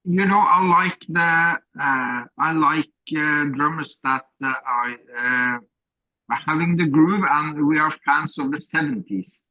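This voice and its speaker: A man's voice: a little high, measured pace, no room sound, muffled recording. A man whose first language is Norwegian, a drummer answering interview questions in hesitant, plain English full of fillers. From Norway.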